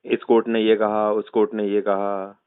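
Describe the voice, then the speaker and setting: A man's voice: fairly low pitch, flat delivery, normal speed, clear, resonant tone, no echo. Male, central Indian Hindi accent, persuasive explainer who keeps seeking agreement, heard on a tinny recorded phone call. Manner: persuasive, checks agreement with frequent tag questions.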